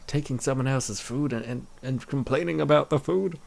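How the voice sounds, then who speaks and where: A man's voice: mid-range voice, normal speed, vocal fry, a little mellow. A man giving reaction commentary on a comic, with mock-dramatic asides and rhetorical questions.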